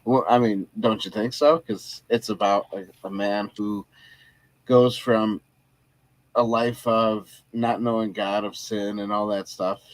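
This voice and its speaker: A man co-hosting a casual film review podcast, easygoing and quick to laugh, with plenty of halting fillers. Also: fairly low pitch, steady tone, dry recording, rough recording. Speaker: podcast co-host.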